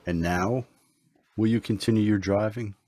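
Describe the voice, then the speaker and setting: Male actor as mysterious holy stranger, very low husky voice, solemn, measured, gentle, fatherly, radio play. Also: fairly even intonation, natural voice. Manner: solemn, measured, archaic biblical phrasing. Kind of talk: radio drama acting.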